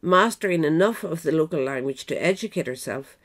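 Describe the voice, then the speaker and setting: A woman's voice: low voice, lively intonation, brisk. A woman narrator reading a scripted biographical essay aloud. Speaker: narrator, reader.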